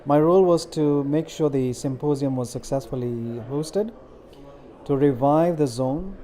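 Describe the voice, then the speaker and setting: Earnest middle-aged man, mellow voice. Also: fairly high pitch. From India. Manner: earnest, measured with frequent fillers. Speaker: interviewee, community organizer. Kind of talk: interview answers.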